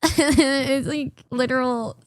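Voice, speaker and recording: A woman's voice: sits high, fairly even intonation, takes it slowly, no room sound. A young woman streaming, chatting casually and giggling as she reacts to clips, her voice a little worn. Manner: giggly, chatty, often mimics others. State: voice partly gone from recent strain. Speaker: VTuber streamer. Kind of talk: casual livestream reaction chat.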